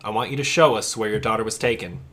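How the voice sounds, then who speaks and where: A man's voice: lowish voice, quick pace, fairly bright tone, lightly acted. A man playing a sour, commanding police sergeant in an audio drama, curt and cold. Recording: distant mic, faint background noise.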